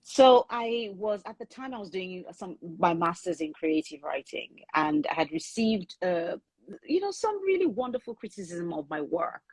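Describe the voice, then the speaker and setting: A woman whose first language is Yoruba talks through her writing in an earnest, thoughtful way, pausing to restart her sentences. Her voice sits a little low and has a husky, breathy grain. The sound is close and dry. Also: very animated, a little mellow, no room sound, poor audio. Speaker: author and guest. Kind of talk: conversational interview.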